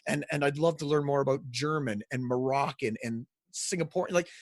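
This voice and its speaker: A man's voice: mid-range voice, fairly quick, dry recording, studio quality. A man hosting a casual interview, speaking in an informal, chatty way. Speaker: interview host.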